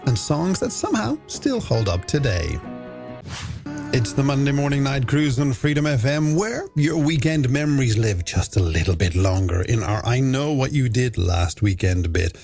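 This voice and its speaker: A man's voice: mid-range voice, sing-song, normal speed, gravelly, a little mellow. An Irish man presenting a late-night music radio show, chatting companionably to listeners in scripted links. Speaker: radio host. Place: radio studio. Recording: music bed behind the voice.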